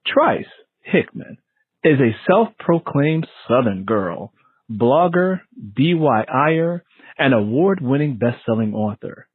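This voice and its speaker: Animated man hosting over a tinny line. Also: mid-range voice, slow pace, breathy edge. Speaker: host of a book show. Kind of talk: radio-style interview with scripted introduction. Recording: tinny phone-like line, roomy echo.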